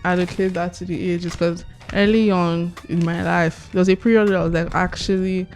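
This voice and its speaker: A woman in her twenties chatting casually with friends in a relaxed, musing way. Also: on the low side, brisk, soft background music. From Nigeria. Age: 20s. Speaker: vlogger. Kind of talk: casual chat among friends.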